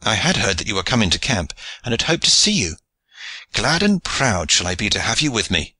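A man voicing an earnest, eager old knight who exclaims in sudden high outbursts. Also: very animated, brisk, sharp tone, husky, dry recording, full character voice. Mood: hearty.

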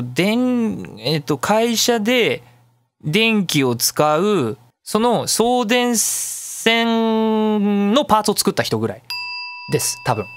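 A man with a fairly high, very animated voice hosts a casual solo radio show. He talks fast in a chatty, self-mocking way, drifting into long explanations. The sound is dry and close. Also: no room sound.

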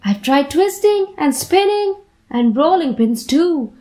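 A woman's voice: high-pitched, expressive, slow, warm tone, voice pushed up. A woman voicing a sulky, dramatic little girl from a children's storybook, complaining in a whiny, exaggerated way.

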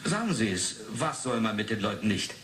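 An actor in a 1980s radio play playing a curt, suspicious male police inspector, brusque, probing and deliberate. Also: lowish voice, big pitch swings. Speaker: police investigator. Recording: roomy, faintly noisy 1980s radio drama.

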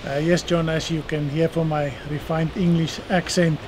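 A man in his sixties with a South African accent, answering interview questions in a measured way with dry humor, on an outdoor terrace. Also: fairly high pitch, level tone. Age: 60s. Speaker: eye surgeon guest. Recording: outdoor noise behind voice.

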